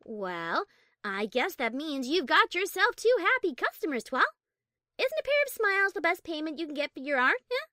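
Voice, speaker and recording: Woman voicing a high, animated female pony. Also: moderate pace, no echo, clean studio sound, full character voice. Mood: cheerful. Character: female pony characters in a romantic audio story.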